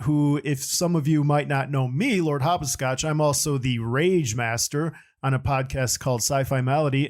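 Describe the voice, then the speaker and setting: A man hosting a podcast, introducing the show in a casual, chatty style. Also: medium pitch. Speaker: podcast host.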